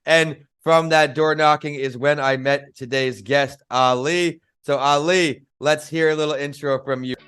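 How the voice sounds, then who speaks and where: A man hosting an entrepreneur podcast with a smooth, resonant voice. He reads his introductions in an upbeat, scripted way and moves briskly from guest to guest. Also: fairly high pitch, steady tone, fairly bright tone. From northern United States. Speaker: podcast host.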